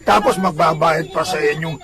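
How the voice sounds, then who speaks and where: A man's voice: on the high side, fairly even intonation, moderate pace, a crowd in the background, lightly acted. A man playing a skeptical, jobless neighborhood drunkard in a religious radio drama, with a scoffing, dismissive manner.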